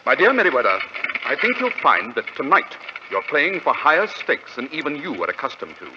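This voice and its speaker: An actor playing a brilliant, commanding, sardonic London detective with an English accent, in a crisp, theatrical 1940s radio drama style. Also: male voice, medium pitch, wildly swooping pitch, brisk. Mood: brisk, confident. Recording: dull, noisy vintage radio recording.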